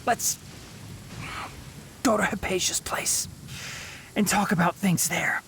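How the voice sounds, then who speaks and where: A wounded young healer, slow, weary. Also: male voice, steady tone, gravelly, pushed and bright, in a whisper, exaggerated voice. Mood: strained, concerned. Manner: measured, weary, understated. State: pained and tired from a wound. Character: young male forest healer, wounded, proud, dryly stubborn. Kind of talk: video game voice acting. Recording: game ambience with low rumble.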